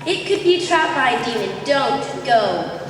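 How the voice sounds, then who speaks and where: A woman's voice: a little high, big pitch swings, measured pace. A female performer playing a loyal, cautious young prince in a school stage play, speaking earnestly. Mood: wary. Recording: echoey noisy gym, far from mic.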